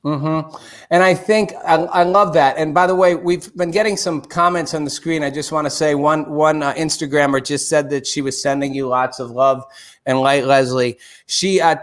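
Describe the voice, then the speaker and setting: A middle-aged man hosting a live online show from a home studio, chatty and animated, with loose fillers. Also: fairly high pitch, moderate pace. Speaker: host. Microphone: desk studio mic.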